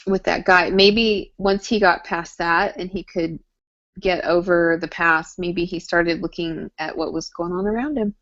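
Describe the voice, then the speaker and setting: A woman's voice: fairly low pitch, steady tone, unhurried, a bit bright. Woman co-host in dull, lo-fi audio. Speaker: podcast co-host. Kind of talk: casual chat discussing a TV drama.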